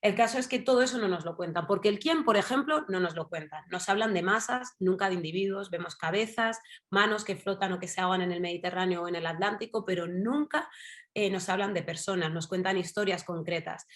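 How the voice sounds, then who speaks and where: A woman's voice: medium pitch, brisk, a bit bright. A woman from Spain with a Madrid accent speaks as a guest in an online discussion. She thinks aloud and drifts into asides, with many fillers and tag questions. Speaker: journalist guest. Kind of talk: casual talk in an online panel.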